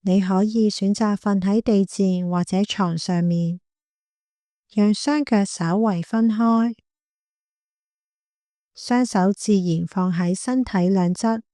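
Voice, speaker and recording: A woman with a Hong Kong Cantonese accent guiding a meditation in a gentle, calm, unhurried manner. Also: mid-range voice, no room sound, studio quality. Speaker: meditation guide, clinical psychologist. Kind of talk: guided body scan meditation.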